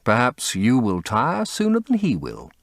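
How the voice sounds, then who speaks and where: A man plays a languid aristocrat in an audiobook novel, cynical and amused. He delivers urbane lines with lively intonation, in a dry, close recording. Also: mid-range voice, measured pace, vocal fry, no echo, heavily acted. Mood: languid, musing. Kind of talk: dramatic audiobook character acting.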